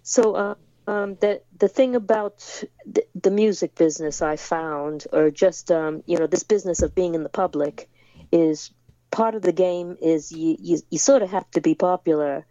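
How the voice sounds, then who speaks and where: A woman's voice: on the low side, normal speed, mellow, no room sound, lo-fi sound. A woman raised in the United States, a musician guest in a remote interview, talking hesitantly with many fillers and restarts.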